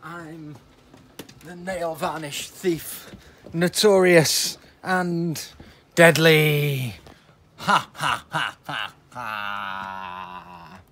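A man's voice: a little high, very slow, a bit bright. A middle-aged man with a British accent, playing a boastful, cheeky thief in a family home movie. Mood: gloating. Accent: British English. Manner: hammy, gloating villain lines.

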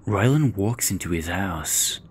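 A man's voice: low voice, expressive, a little slow, husky. A man reading scripted scene narration for an audio drama.

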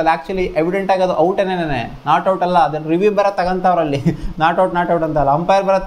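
A man gives casual sports commentary in a slightly high voice. He speaks very fast, in a colloquial, exclamatory way, as a channel host would. He is close-miked on a lapel in a small indoor room. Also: darkish tone. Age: 20s. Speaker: host of a cricket review channel. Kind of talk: casual match commentary to camera. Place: small indoor home studio. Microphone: clip-on lapel mic.

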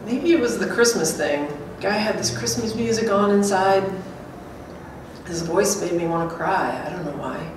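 A woman's voice: mid-range voice, expressive, normal speed, close to an everyday voice. A woman, middle-aged, reading the part of a plain-spoken, chatty companion in a staged script reading. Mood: gossipy. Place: small hard-walled gallery room, indoors. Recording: very echoey room, distant, faint noise.

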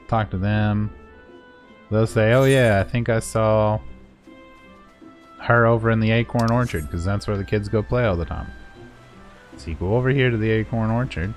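A man giving a casual, unscripted game walkthrough in a playful, self-deprecating way. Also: on the low side. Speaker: gaming video creator and guide narrator.

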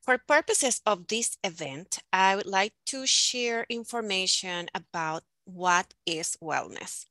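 A middle-aged woman hosting a panel with a prepared, formal presentation in a measured, deliberate manner, speaking into a headset. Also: mid-range voice, expressive, pushed and bright, no echo. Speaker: panel host and moderator. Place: indoors on a video call, virtual background.

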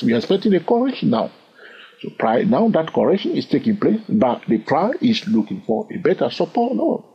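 A man with a husky voice walks through price charts in a screen-recorded trading lesson. His pitch swings widely as he asks rhetorical questions and chuckles. The recording sounds dull and lo-fi. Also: a little mellow. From lives in London. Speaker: trading educator. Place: at a computer, voice over screen.

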